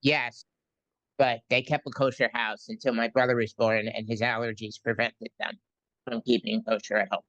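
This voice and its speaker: A man from New York City interviewing a guest, speaking haltingly with many ums and pauses. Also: mid-range voice, flat delivery, a bit bright, dry recording, highs cut off. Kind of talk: casual conversational interview.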